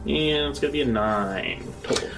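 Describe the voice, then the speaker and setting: A man's voice: medium pitch, lively intonation, normal speed, creaky. A man playing a tabletop role-playing game, talking casually and hesitantly as he decides his moves. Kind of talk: casual in-character game play. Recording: slightly distant mic, loud music behind. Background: prominent background music.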